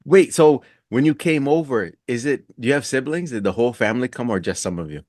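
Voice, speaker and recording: A man's voice: medium pitch, lively intonation, fast, a little mellow, no echo, clean studio sound. A man hosting a casual podcast interview, asking questions in an informal, inquisitive way.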